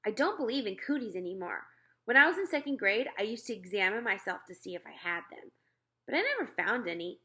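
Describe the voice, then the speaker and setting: A woman reading a children's book aloud in an expressive storytelling style. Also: mid-range voice, a little fast, slightly rough. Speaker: read-aloud reader.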